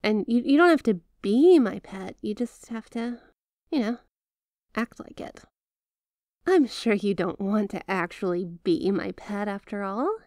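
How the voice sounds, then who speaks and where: A woman playing an apologetic, lovestruck young mafia heiress in an intimate ASMR roleplay, talking in a hesitant, conversational, softly teasing way. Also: medium pitch, no echo, clean studio sound, natural voice. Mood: teasing, flustered.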